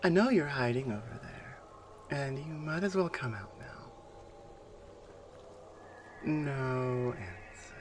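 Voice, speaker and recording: A man playing a menacing, taunting non-human warrior captor in an ASMR roleplay, speaking deliberately and with command. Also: medium pitch, expressive, slight background noise. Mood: stern, threatening. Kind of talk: ASMR roleplay audio drama.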